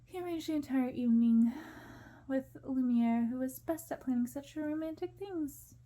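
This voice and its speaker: A woman reading a story aloud as ASMR narration, in a soft, hushed storytelling manner. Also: high-pitched, normal speed. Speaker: ASMR reader.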